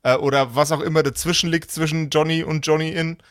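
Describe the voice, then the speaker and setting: A man with a voice a little higher than most, recorded very dry. He speaks informally and colloquially, like a game master chatting and explaining rules to his players. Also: steady tone, fast, no room sound. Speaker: game master on a role-play podcast. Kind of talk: casual chat and rule explaining.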